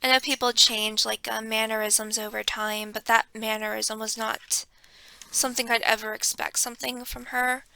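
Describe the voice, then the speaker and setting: A young woman quietly telling a personal story in a home vlog, keeping her voice hushed, with frequent ums and pauses, close to a desk microphone. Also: a little high, little pitch movement, gravelly, a little hiss or hum. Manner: hushed and reflective, with many ums. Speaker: vlogger.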